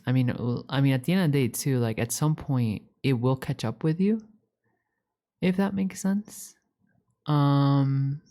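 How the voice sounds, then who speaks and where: A man's voice: mid-range voice, dark tone, natural voice. A man playing an eager, affectionate young puppy-boy roommate in a casual ASMR roleplay, rambling earnestly with stammers and repeated words.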